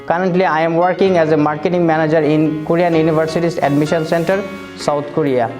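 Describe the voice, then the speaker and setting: Higher-pitched young man's testimonial over music. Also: flat delivery, fast. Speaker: graduate giving a testimonial. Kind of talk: scripted testimonial.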